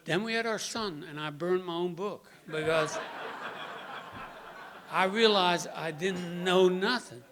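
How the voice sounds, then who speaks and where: A man in his sixties from the Netherlands with a Dutch accent, giving a plainspoken personal testimony to a group, pausing often on "uh.". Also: high-pitched, noisy background. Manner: plainspoken, halting with many "uh"s. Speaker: guest speaker.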